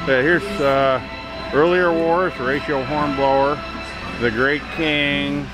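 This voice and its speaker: Man hosting a camcorder flea-market walk, dry offhand asides, marching music heard clearly underneath. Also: a little high, steady tone, slow pace, poor audio. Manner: wry, offhand, thinking aloud. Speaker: video host walking a flea market. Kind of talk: casual handheld tour commentary. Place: outdoor flea market, parking lot. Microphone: handheld camera mic. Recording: music playing behind. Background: band music playing.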